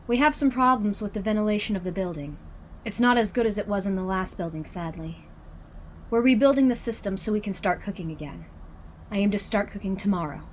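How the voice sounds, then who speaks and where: A woman playing a composed department head in a crime audio roleplay, giving measured, businesslike reports. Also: mid-range voice, slight background noise, lightly acted. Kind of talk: scripted audio roleplay acting.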